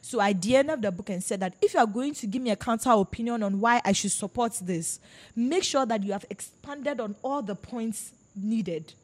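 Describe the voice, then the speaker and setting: A woman from Ghana hosts a casual book podcast with a slightly high voice. She talks emphatically and with lots of energy, often cutting in over her guests. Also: normal speed. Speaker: podcast host.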